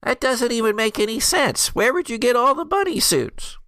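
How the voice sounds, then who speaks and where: A man playing an exasperated, disbelieving small-town sheriff's deputy in an improvised tabletop game, questioning in a halting, folksy way. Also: extremely high pitch, very animated, sharp tone, no echo, clean studio sound, voice pushed up, exaggerated voice.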